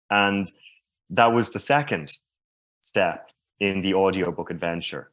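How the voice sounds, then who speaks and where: A man who stutters giving a slow, reflective personal talk at a conference. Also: lowish voice. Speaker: conference speaker. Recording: muffled lo-fi sound.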